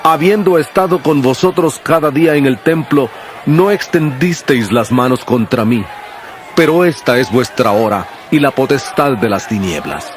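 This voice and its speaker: A solemn male prophet and teacher played by an actor in an audio Bible drama. He speaks deliberately, with sorrow and authority, at a middling pitch over clearly heard music. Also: expressive, natural voice. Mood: firm rebuke.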